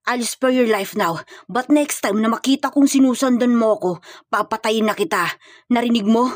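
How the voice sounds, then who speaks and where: A woman's voice: a little high, moderate pace, dry recording, close to an everyday voice. A woman voicing a cold, secretive male hired killer with a Filipino accent in a dramatic story. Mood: cold threat. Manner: mixes English and Tagalog.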